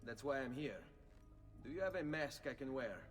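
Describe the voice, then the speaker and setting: A man's voice: mid-range voice, expressive, moderate pace, faint music behind, natural voice. A male actor playing an earnest, brooding young assassin with an Italian accent in a video game cutscene.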